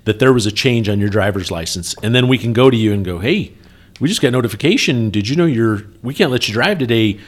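A man's voice: medium pitch, animated, quick pace. A man co-hosting a trucking company podcast, chatting casually in an earnest, explanatory way and often checking for agreement. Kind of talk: casual workplace talk with anecdotes.

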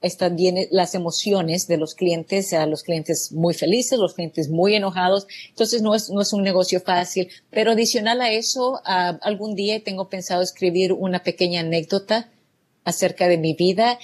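A woman's voice: fairly low pitch, fairly even intonation, normal speed. A woman from El Salvador, a business owner answering interview questions in an earnest, grateful way. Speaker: guest, real estate business owner.

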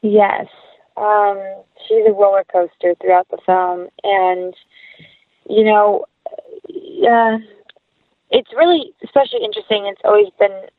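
A woman talks as a guest in a conversational interview, earnest and encouraging. Her voice sits at a medium pitch. It comes through a narrow, phone-like connection with no room sound. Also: fairly even intonation, a little slow, pauses often, no room sound.

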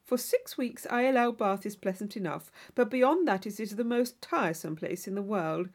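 A woman voicing a witty, urbane young gentleman in a classic novel audiobook, with a playful, mock-solemn, teasing manner. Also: medium pitch, animated, moderate pace, lightly acted. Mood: dry teasing.

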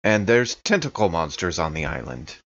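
Low-voiced man roleplaying. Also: sing-song, moderate pace, a bit bright, dull audio. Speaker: player in a horror tabletop podcast. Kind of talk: casual improvised in-character roleplay.

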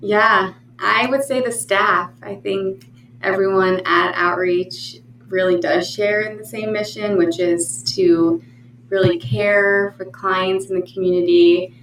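A woman's voice: fairly low pitch, a bit bright. Woman counselor on a podcast, friendly and chatty; echo, mic far off, faint hum. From Pennsylvania, USA. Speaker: counselor and program manager. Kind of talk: casual interview answers. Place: library meeting room.

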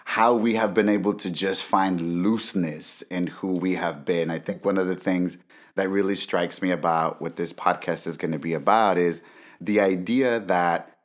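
A young man co-hosting a casual podcast and teasing his co-host in a playful, animated way. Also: low-pitched. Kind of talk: casual chat. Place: Inglewood, California.

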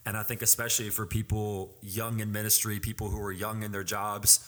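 A man's voice: fairly low pitch, level tone, a little fast, fairly bright tone. A man in his twenties, a student pastor, co-hosting a casual podcast and speaking earnestly with many fillers and restarts. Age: 20s.

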